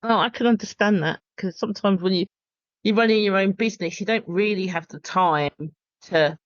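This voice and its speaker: A woman's voice: mid-range voice, moderate pace, no room sound. A woman hosting a podcast interview, encouraging and conversational with her guest. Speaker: podcast host. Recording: dry, dull lo-fi call audio.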